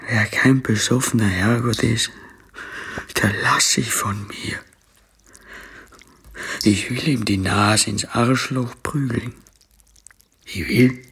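An actor playing a drunken, boastful military bully in a German radio drama, raspy and threatening. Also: male voice, mid-range voice, a bit bright, exaggerated voice. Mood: boastful aggression. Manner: swaggering, threatening.

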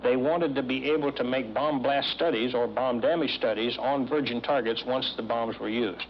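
A man's voice: medium pitch, moderate pace, a little hiss or hum. Muffled man recalling events. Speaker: veteran airman giving testimony. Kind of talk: recollection in interview. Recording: muffled archive interview.

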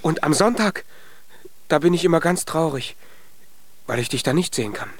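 An actor playing a small, earnest, naive young giant in a German children's radio play. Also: male voice, a little high, expressive, normal speed, close to an everyday voice. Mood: shy, tender.

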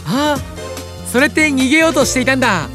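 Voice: high-pitched, lively intonation, quick pace, over music. An actor voicing a cheerful, carefree younger brother with easygoing, boyish casual speech in an anime-style audio drama. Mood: calm, teasing.

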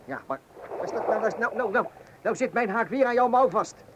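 A man's voice: high voice, brisk, dull audio, background noise, close to an everyday voice. A man acting in a comic Dutch radio play as a clumsy, apologetic fellow who mutters and repeats himself. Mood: flustered. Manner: muttering, repeating words in confusion. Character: bumbling, apologetic, easily flustered man, clumsy novice angler.

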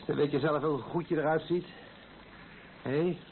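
A man's voice: fairly high pitch, fairly even intonation, unhurried, phone-quality sound, slight background noise. An actor playing a young husband and expectant father in a radio play, affectionate and eager, talking in an intimate, conversational way. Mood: eager, fond. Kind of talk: naturalistic radio play dialogue. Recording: thin old radio recording, music behind.

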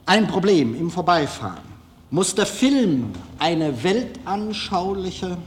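Slow, deliberate man, reflective and emphatic. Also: on the high side. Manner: deliberate, emphatic, with long pauses. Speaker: film director. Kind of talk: reflective personal monologue.

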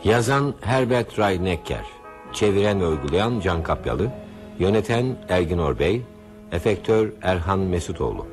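Male announcer, very low pitch, expressive scripted delivery, radio drama credits, clear music. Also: moderate pace.

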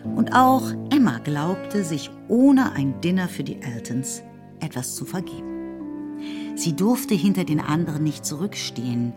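A woman's voice: low voice, sing-song, unhurried. A woman reading scripted literary narration as the narrator of a radio play. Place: radio studio. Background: music.

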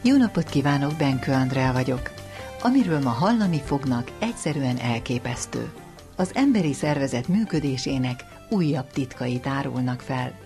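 Female presenter, low-voiced, scripted science radio narration over faint music. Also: lively intonation, darkish tone.

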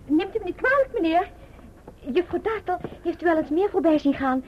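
Housemaid, radio play: polite, deferential, high voice, dulled old recording. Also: female voice, animated, fairly quick, slight background noise. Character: polite, deferential servant girl at the door. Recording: dull, muffled radio recording.

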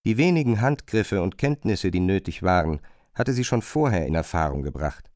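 A man narrating a literary radio drama in a measured, pointed, questioning manner. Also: deep voice, expressive, a little mellow, no room sound, studio quality.

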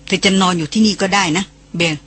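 A narrator voicing a calm, firm foreign woman who speaks low and sternly in a storybook reading. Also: fast talker, husky. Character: blonde foreign woman, calm and firmly commanding.

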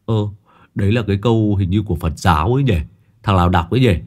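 A man's voice: lowish voice, quick pace, lightly acted. Fields: Figure: man playing a dim young sidekick. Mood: puzzled.

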